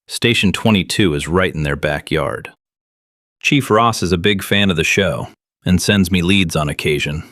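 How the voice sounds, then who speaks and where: Conspiracy show host, deep low voice, wry, conspiratorial, conversational, audio drama monologue, dry recording. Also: male voice, fairly bright tone, dry recording. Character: wry conspiracy radio show host, Miller, grown man.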